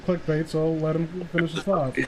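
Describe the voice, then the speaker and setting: Male streamer, fairly high voice, casual banter, teasing and sweary, faint background noise. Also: little pitch movement, normal speed, a little mellow. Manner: profane, teasing. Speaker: streamer hosting a group call. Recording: faint background noise, livestream group call.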